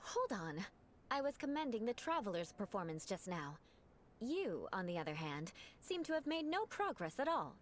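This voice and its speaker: A woman's voice: mid-range voice, very animated, normal speed, a little hiss or hum, close to an everyday voice. A woman playing an elegant young noblewoman knight in a video game, formal, aloof and theatrical, with a vengeful but caring streak. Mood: stern.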